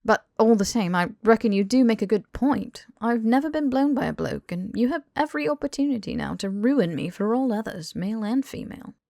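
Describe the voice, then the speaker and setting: A woman's voice: a little high, lively intonation, fairly quick, creaky, few pauses, darkish tone, dry recording, studio quality, pitched above the performer's own voice. A woman voicing a casual young man, wry and easily flustered, protesting informally in an audiobook. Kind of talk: audiobook character dialogue.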